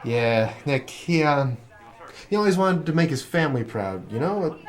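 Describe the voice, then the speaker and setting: Young male gambler in debt, played by a man, noir audio drama, nervous and stammering, animated intonation. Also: a little high, a little slow. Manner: stammering, hesitant.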